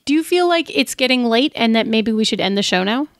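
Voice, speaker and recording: Playful high-pitched woman podcasting. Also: expressive, a little fast, no echo, studio quality. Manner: playful, informal. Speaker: podcast co-host. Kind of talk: casual podcast banter.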